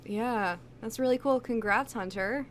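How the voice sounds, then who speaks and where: A woman's voice: a little high, unhurried, a little hiss or hum. A woman, an actress being interviewed, speaking in an informal, conversational way. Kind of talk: casual interview chat.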